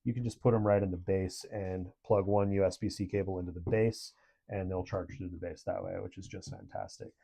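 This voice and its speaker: A man's voice: low voice, fairly even intonation, fairly quick, vocal fry, warm tone, breathy edge. A man giving a casual product review in a conversational, approving way.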